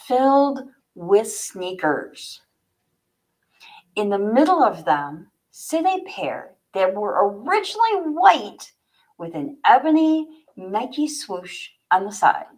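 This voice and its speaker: A woman's voice: medium pitch, a little mellow, low-quality recording. A woman livestreaming as an author, chatty and informal as she speaks straight to her viewers. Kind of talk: casual livestream chat, then reading own fiction aloud.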